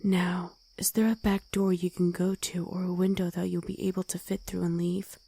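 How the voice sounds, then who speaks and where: A woman voicing a gruff, protective wolf girl ex-soldier in an ASMR roleplay, stern and commanding, often in a hush. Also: lowish voice, level tone, moderate pace, dry recording. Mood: hushed, urgent.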